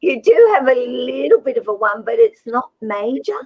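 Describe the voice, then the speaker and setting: A woman's voice: high-pitched, sing-song, moderate pace, gravelly, a little hiss or hum. A chatty woman with an Australian accent, talking casually on a livestream video call and laughing easily. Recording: dull, lo-fi and dry.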